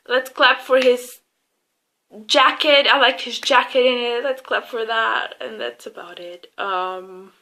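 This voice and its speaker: Casting: young female movie reviewer, fairly high bright voice, informal chatty delivery, gushing and sweary. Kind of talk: casual, opinionated film review chat.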